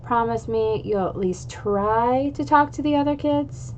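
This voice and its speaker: A woman's voice: on the high side, measured pace, slight background noise, close to an everyday voice, rough recording. A woman voicing a caring mother who patiently scolds her son, read aloud from a storybook. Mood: nagging. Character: caring, gently nagging mother of a boy.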